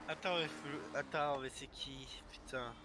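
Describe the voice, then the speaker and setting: A man's voice: mid-range voice, steady tone, measured pace, background noise. A young man chatting casually as a player in an online roleplay game.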